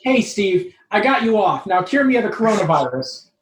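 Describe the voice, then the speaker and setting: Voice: mid-range voice, sing-song, moderate pace, natural voice. A performer voicing a lonely, impatient young woman with a pleading, blunt manner. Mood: exasperated. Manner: pleading, then blunt and fed up. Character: lonely, impatient, exasperated young woman, sitcom love interest. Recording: roomy, echoing live room.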